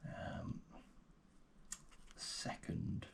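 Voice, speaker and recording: A man speaking softly and gently in an ASMR roleplay as a guide, often slipping into a breathy whisper, murmuring without hurry. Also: very slow, a little hiss or hum. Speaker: host playing a paleontologist guide. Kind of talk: ASMR roleplay, soft narration of a fossil dig.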